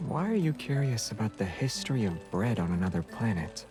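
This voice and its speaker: A young man who is the teasing love interest in a video game. His voice is low and calm, with a dry, deadpan delivery of scripted lines, and music plays clearly underneath. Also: fairly quick. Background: prominent game music.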